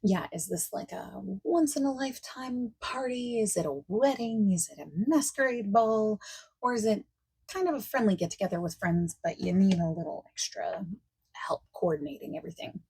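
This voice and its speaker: A woman playing a friendly, eager party planner in a soft-spoken ASMR roleplay, breathy and often near a whisper, chatty and reassuring. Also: medium pitch, normal speed.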